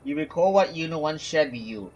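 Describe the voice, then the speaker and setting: A man's voice: a little high, lively intonation, a little fast, a little mellow, muffled recording. A man doing let's play commentary on a story game, reading dialogue choices aloud. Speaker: gamer reacting to a story quest. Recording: game music behind.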